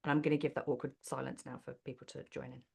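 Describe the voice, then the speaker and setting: A woman's voice: a bass voice, little pitch movement, very fast, vocal fry, husky. A woman leading an online workshop, affirming participants and asking them questions. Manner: warm affirming, asks questions of the group. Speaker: inclusion coach leading a session.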